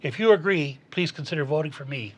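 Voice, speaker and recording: A man's voice: fairly high pitch, animated, fast, slightly rough. A man reading a prepared statement as a candidate in a local election, in an earnest, declamatory way. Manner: earnest, declamatory speech-making.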